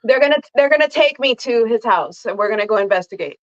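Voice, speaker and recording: A woman's voice: a little high, expressive, fast, muffled recording. A woman from Miami on a podcast call as a paranormal investigator guest, speaking in a plain, matter-of-fact way. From Miami, USA. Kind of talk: casual chat.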